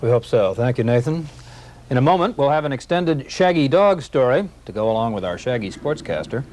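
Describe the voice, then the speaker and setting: A man's voice: mid-range voice, expressive, a little fast, darkish tone, a little hiss or hum. A man with an American accent anchoring a local newscast, reading scripted stories in a wry manner. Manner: wry, wordplay-laden. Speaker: TV news anchor. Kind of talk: scripted local news narration.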